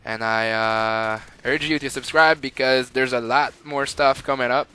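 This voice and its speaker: A man's voice: mid-range voice, steady tone, unhurried, bright, forceful tone, smooth. A young man with a Quebec French accent, rambling through casual gameplay commentary with lots of fillers. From Montreal, Canada. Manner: rambling and chatty, with frequent fillers and laughs. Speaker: gamer.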